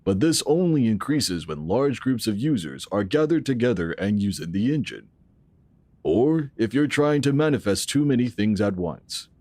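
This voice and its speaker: An actor playing a calm, assured founder of a futuristic tech organization, explaining in a measured way in an audio drama. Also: male voice, on the low side, no echo. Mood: explanatory, assured. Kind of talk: scripted audio drama acting.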